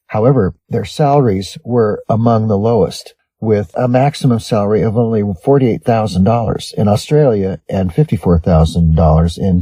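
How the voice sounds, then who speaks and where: Husky, mellow American man reading aloud. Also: fairly low pitch, dry recording. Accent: Western American. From Western United States. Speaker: English test-prep reader. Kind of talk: scripted read-aloud of sample essays.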